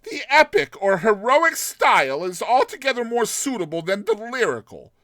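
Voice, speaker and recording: A man's voice: high voice, very animated, moderate pace, fairly bright tone, no echo, clean studio sound, voice pushed up. A man voicing a sceptical, pompous friend in a dramatized short story, with a mocking, interjecting manner.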